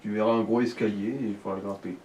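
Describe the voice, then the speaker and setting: A man's voice: lowish voice, flat delivery, racing, darkish tone. A man chatting casually as a let's play co-commentator, guiding the player in coarse slang. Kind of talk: casual gameplay chat. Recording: distant mic with faint noise.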